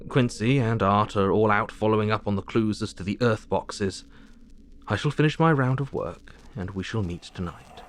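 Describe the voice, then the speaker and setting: A man's voice: fairly low pitch, moderate pace, a little hiss or hum, natural voice. An actor playing an earnest, businesslike Victorian asylum doctor, narrating his diary in an audio drama. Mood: earnest, resolute.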